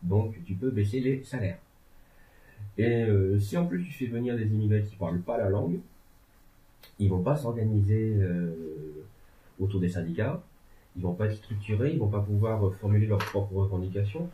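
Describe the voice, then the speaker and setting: A man's voice: fairly low pitch, lively intonation, normal speed. A man discussing politics in a casual, rambling way, with many hesitations and joking asides. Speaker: legal and political commentator. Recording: tinny phone-like sound in an echoey room.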